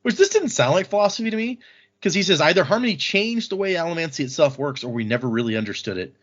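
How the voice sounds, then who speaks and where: A man's voice: on the high side, animated, quick pace, fairly bright tone, muffled recording. A man hosting a podcast, recapping a book in a casual, informal way. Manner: informal, acts out quoted dialogue briefly. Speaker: podcast host.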